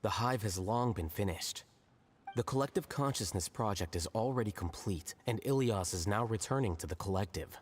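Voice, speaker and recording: A cool, logical male scholar, voiced by a man, with a lowish, level voice. He explains in a measured, matter-of-fact way. A faint hiss runs beneath. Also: lively intonation, natural voice. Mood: calm. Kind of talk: video game voice acting.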